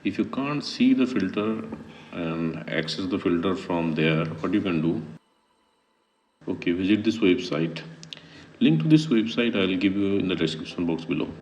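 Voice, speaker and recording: A man's voice: sits low, expressive, moderate pace, darkish tone, recorded from a distance, dull audio, slight background noise. A man narrating a casual how-to tutorial as a vlogger. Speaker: vlogger giving a phone app tutorial.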